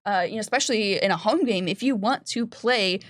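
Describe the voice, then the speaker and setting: A woman's voice: mid-range voice, brisk, no echo, clean studio sound. A woman who works as a game designer, hosting a casual panel discussion and explaining her ideas in a conversational way.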